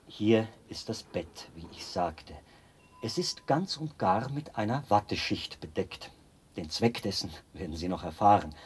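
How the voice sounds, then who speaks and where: An actor in a radio play playing a devoted, zealous penal colony officer who explains things in a talkative, pedantic way. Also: male voice, fairly low pitch, breathy edge. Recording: noisy binaural radio play recording with clicks.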